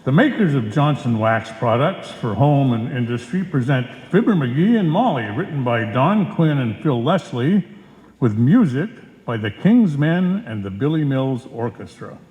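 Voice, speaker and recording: A man's voice: mid-range voice, big pitch swings, a little slow, a little mellow. A man playing a genial 1940s radio announcer, delivering a scripted show introduction in a presentational style. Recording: muffled live stage recording, echoey hall.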